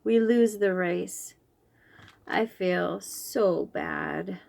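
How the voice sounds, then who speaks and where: A woman reading a children's picture book aloud in an expressive, animated storytelling manner. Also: lowish voice, very slow, gravelly.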